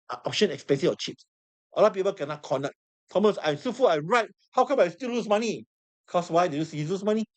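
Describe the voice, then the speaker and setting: A man with a Singaporean English accent teaching a live trading class. He is hoarse from losing his voice, repeats himself often and throws questions at the room. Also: high-pitched. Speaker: trading instructor.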